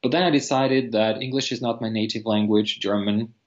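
A man's voice: on the low side, fairly even intonation, fairly quick. Russian-native man, earnest, dull call audio. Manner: earnest, frequent fillers and restarts. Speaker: language teacher, guest. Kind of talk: video call interview. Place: room with teal wall. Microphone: video call.

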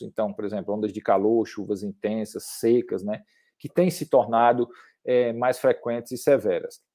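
Male, middle-aged, Brazilian accent. Mellow mid-pitched voice, halting academic lecturer delivery with repeated words. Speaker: climate researcher, guest lecturer. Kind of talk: slide lecture at an online academic workshop.

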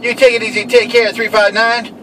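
A man's voice: very high voice, flat delivery, a little fast, bright, forceful tone. A man calling out on CB radio in a playful, repetitive way from inside a vehicle. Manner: playful, repetitive call-outs. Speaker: CB radio operator. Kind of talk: CB radio calling chat with repeated handles. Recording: distant mic in noisy vehicle.

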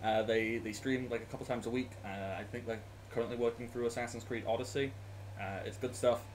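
Man, game master, headset mic, rambling, quick laughs, faint background hiss. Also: mid-range voice, little pitch movement, a little mellow, poor audio. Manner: laughs often, rambling with fillers. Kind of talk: running a tabletop game over a video call. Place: small room at home.

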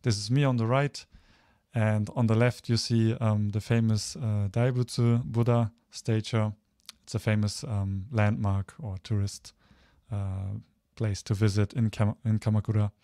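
A man's voice: lowish voice, little pitch movement, a little slow, warm tone, smooth, no room sound, clean studio sound. A man in his thirties from Germany giving an informal online slide presentation, hesitant and often searching for words. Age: 30s. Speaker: guest speaker, photographer.

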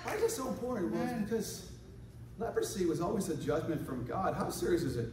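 A man preaching a lively sermon, swinging from quiet asides to shouted exhortation and acting out quoted voices. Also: high-pitched, fairly quick, heavy background noise. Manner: acts out quoted voices for laughs. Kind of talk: lively sermon with congregation responses. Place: large church hall. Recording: echoey hall, far from mic, congregation. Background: congregation responding.

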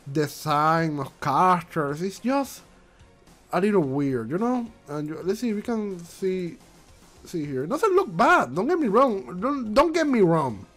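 Male vlog host, congested and stuffy, high lively voice, faint music under him, rambling non-native English. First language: not English. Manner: rambling, repeats himself, frequent restarts. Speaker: channel host. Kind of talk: casual commentary vlog.

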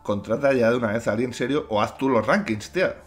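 A man's voice: medium pitch, fast, faint music behind. Joking man hosting a game. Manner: joking, chatty, laughs often. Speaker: gameplay host. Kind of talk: casual chat with co-host.

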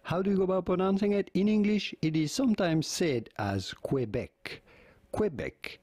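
A man reads a short pronunciation explainer with a lively, rising and falling voice. The sound is dry and close, with no room around it. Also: high-pitched, normal speed, no echo. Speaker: pronunciation guide narrator. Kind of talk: scripted explainer narration.

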